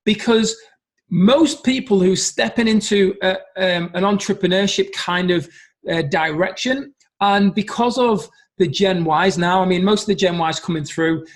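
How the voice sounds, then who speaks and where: A man's voice: high-pitched, measured pace. A middle-aged man giving an earnest motivational business talk, stressing points by repeating them. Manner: earnest, emphatic, repeats key phrases. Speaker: business coach.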